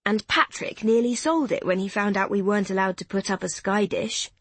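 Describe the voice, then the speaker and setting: A woman voicing a cheerful, excitable young mother who talks quickly and pokes fun at herself, in a slightly husky voice. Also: mid-range voice, dry recording. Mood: chatty. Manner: bubbly, quick, self-deprecating.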